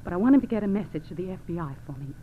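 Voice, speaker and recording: A scheming gangster's wife played by a woman pleads and confides as if helpless, in 1940s radio drama style. The recording is tinny and narrow, with a steady hiss. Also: lowish voice, racing, lightly acted. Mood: urgent pleading.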